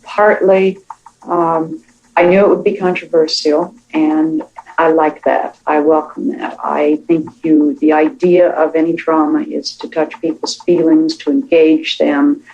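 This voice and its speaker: A woman giving thoughtful, measured interview answers as a television writer, speaking reflectively about her work. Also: low-pitched, gravelly, muffled recording.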